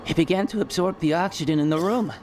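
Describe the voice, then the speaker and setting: A man's voice: on the high side, fast talker, a little mellow, slight background noise, lightly acted. A man playing a cautious, dutiful scientist serving under a commander in a sci-fi audio drama, earnest and hesitant. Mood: technical, impressed. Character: cautious, dutiful male scientist officer, anxious subordinate.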